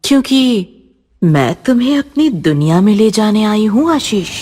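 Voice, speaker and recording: A woman's voice: medium pitch, very animated, a little slow. Woman voicing a coquettish, possessive young ghost woman. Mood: ominous. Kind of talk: dramatic horror storytelling.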